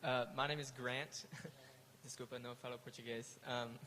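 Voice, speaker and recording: Flat-voiced man, polite. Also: mid-range voice, measured pace, a little hiss or hum. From South Carolina, United States. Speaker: biology student.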